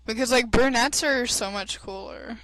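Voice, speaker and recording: A woman co-hosting a let's play, chatty and exasperated, talking quickly. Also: fairly high pitch, a bit bright, a little hiss or hum, rough recording. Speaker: co-host. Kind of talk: casual chat during gameplay.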